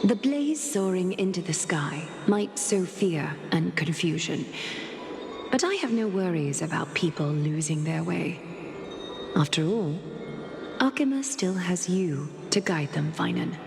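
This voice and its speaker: An actress playing a dignified, composed woman leader in a game, speaking formally and tenderly in a solemn, measured way. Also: on the low side, animated, creaky, background noise. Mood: solemn resolve. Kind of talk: scripted dramatic game voice acting, monologue. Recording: echoey hall reverb with music behind. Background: music.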